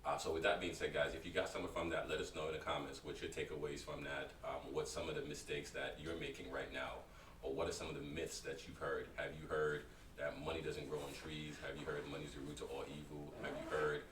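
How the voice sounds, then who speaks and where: A man's voice: very deep voice, little pitch movement, fast talker, fairly bright tone. A man co-hosting a casual chat, giving advice in an encouraging way. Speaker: co-host. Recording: echoey room from far off, faint noise.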